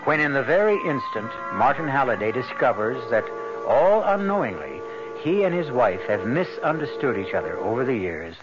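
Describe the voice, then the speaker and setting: A man narrates a vintage radio thriller as its host. He is portentous and deliberate, with a widely varying medium-pitched voice over music in a muffled broadcast. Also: very animated. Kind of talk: dramatic scripted radio narration.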